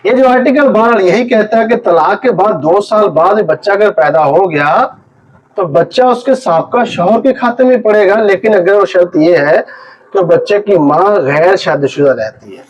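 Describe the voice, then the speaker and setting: A high-voiced man with a Pakistani accent delivers an informal law lecture. He explains like an advocate, repeating key points for emphasis. The recording is somewhat muffled and lo-fi. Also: moderate pace. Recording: dull, lo-fi muffled audio.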